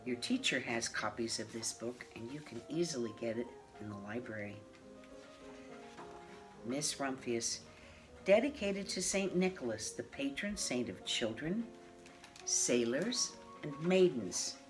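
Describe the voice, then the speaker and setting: A woman of mature years reading a children's picture book aloud in a measured, expressive way. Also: very deep voice. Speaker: storybook reader. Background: classical piano music.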